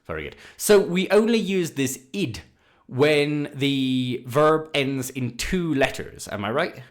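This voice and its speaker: A man in his thirties, a regular guest and English teacher, talking in a relaxed way and letting his phrases trail off quietly, on a video call. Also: mid-range voice, slow. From Ireland. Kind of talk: casual teaching chat. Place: home room, indoors. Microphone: studio mic on a video call.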